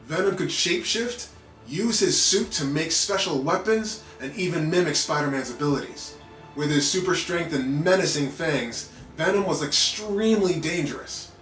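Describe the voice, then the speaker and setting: A man reading a children's story aloud in an animated narrating style with playful asides. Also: on the high side, normal speed. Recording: roomy echo with background music.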